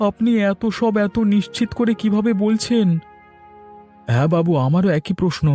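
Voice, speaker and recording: Male actor as middle-aged villager, earnest, respectful, high voice, faint music underneath, cartoon style. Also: normal speed, dark tone, lightly acted. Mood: troubled. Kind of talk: Bengali cartoon voice acting.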